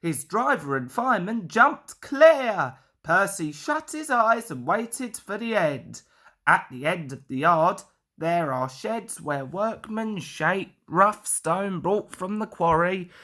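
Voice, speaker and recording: A woman's voice: lowish voice, fairly bright tone. A woman reading a children's story as a scripted narration.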